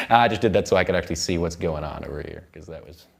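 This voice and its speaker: A man's voice: deep voice, quick pace, vocal fry. A man teaching music production in an informal tutorial, rambling loosely and thinking aloud as he works. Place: indoor recording studio.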